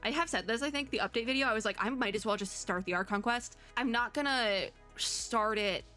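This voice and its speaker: A woman gaming vlogger giving casual reaction commentary to viewers in a giggly, excitable, rambling way. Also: a little high, brisk, soft background music.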